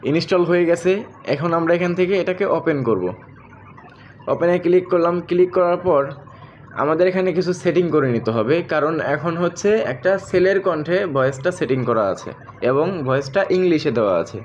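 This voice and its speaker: A man with a Bangladeshi accent and a slightly high voice talks quickly through an app tutorial. He addresses viewers directly and goes over each step again as he moves along. There is faint noise behind him. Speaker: tech tutorial host.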